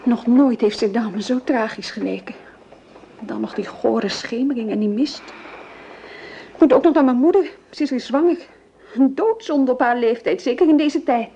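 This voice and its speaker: Radio drama maid: actress as harried young gossip, high chattering voice, faint background noise. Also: female voice, moderate pace, warm tone, lo-fi sound, natural voice. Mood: gossipy. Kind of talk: radio play acting. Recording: faintly noisy old radio play recording.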